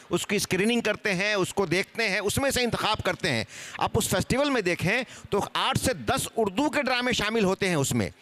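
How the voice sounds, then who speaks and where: A man with a high, forceful voice talks on a seminar panel. He explains steadily, piling up one example after another in a bright, sharp tone. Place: seminar stage at a live event.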